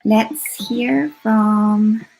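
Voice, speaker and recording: A woman's voice: mid-range voice, steady tone, extremely slow, gravelly, a little mellow, faint music behind, low-quality recording. Woman casually hosting an online workshop. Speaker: workshop host. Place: online video session.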